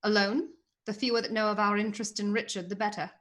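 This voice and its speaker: A woman's voice: mid-range voice, steady tone, fast talker, fairly bright tone, natural voice. A woman acting a haughty, sly princess in a radio play, arch and imperious in her teasing.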